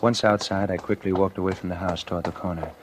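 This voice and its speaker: A scheming, outwardly genial murderer played by a male actor confesses in a low, deliberate voice. The delivery is classic 1940s radio suspense, nervous under the surface, with faint music behind it. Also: steady tone, natural voice. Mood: tense, calculating.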